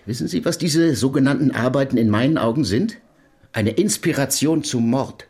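An actor playing a bitter, accusing brother in a radio drama, formal, scornful and insistent. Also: male voice, mid-range voice, normal speed, darkish tone, lightly acted. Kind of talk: German radio play acting.

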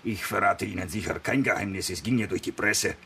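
An actor playing a football official, talking formally and confidingly in a measured, slightly hesitant way in a radio drama. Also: male voice, fairly low pitch, gravelly, pushed and bright, slight background noise. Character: male football association official, formal and discreetly gossipy.

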